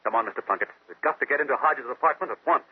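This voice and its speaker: An actor playing an assured, sharp young amateur detective in a 1940s-style radio mystery. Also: male voice, mid-range voice, lively intonation, racing, breathy edge, no room sound. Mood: urgent. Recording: thin telephone-like old radio recording.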